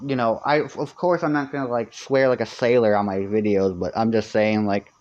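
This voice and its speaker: A young man with a voice he calls high-pitched, chatting casually and rambling on a live stream, full of fillers and restarts. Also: fairly even intonation, moderate pace, a little mellow, muffled recording.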